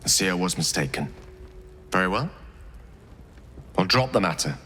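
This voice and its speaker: A man's voice: deep voice, lively intonation, moderate pace, bright, forceful tone. An actor playing a cool, haughty man in a video game, speaking with condescending, clipped control and veiled menace. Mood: cold disappointment. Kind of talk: scripted game acting. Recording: long hall reverb, faint music.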